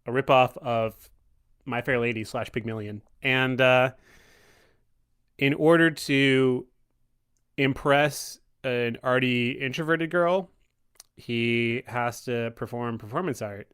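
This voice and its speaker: A man's voice: medium pitch, a bit bright, clean tone. Rambling man in casual podcast chat. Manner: rambling, halting, tongue-in-cheek.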